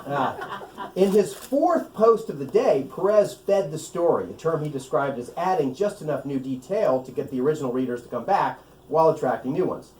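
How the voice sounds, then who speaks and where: An American man, an author reading his own novel to a live gathering with off-script asides, in an animated, wry way. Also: fairly high pitch, moderate pace. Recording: echoey room, distant mic, faint noise.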